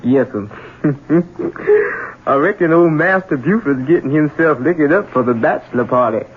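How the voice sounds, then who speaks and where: An actor playing a respectful, easily amused servant son with folksy speech in a 1970s radio drama. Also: male voice, a little high, lively intonation, unhurried. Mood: amused, curious. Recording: muffled noisy vintage radio broadcast.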